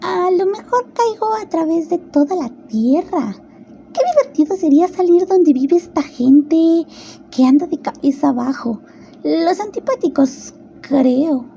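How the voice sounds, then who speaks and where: Woman voicing a high-pitched, curious little girl. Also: lively intonation, a little slow, warm tone, slight background noise, full character voice. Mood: curious musing. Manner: musing aloud, wondering. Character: curious, chatty, self-important little girl. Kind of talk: storybook radio play.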